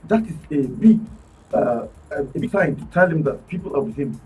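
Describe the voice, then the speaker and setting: A man with a Nigerian accent, arguing politics on a radio talk panel in an animated, emphatic way, often restarting his words. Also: a little high, moderate pace, distant mic, soft background music, poor audio. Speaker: political commentator guest. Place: radio studio.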